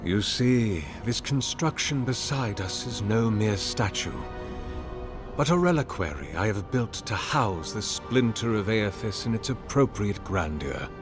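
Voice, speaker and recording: An actor playing an ancient, solemn oracle in a fantasy game, speaking in a formal, measured and grandiloquent manner. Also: male voice, on the low side, expressive. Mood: solemn, persuasive. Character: ancient male oracle, courtly, solemn servant of a god. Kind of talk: fantasy video game dialogue. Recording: music behind the voice. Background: game music.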